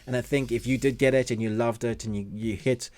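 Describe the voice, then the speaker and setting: A man's voice: mid-range voice, fairly even intonation, brisk, a little mellow. A man hosting a review, speaking conversationally and giving his opinions in a casual monologue. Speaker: online reviewer and show host.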